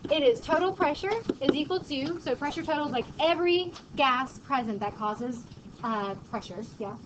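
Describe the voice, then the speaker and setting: A woman teaching a high school chemistry class in a casual, joking way, quizzing her students as she goes. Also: lively intonation, normal speed. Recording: muffled classroom recording, background noise.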